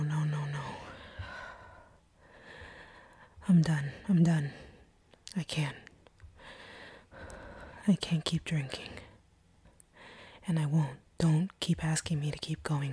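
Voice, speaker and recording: Woman as a slow, whispering, seductive vampire. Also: sits low, mellow, no room sound, heavily acted. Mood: distressed, conflicted. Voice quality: whispered, breathy. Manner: slow, teasing, commanding. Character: seductive, sadistic vampire woman taunting her captive hunter. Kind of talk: intimate whispered roleplay audio.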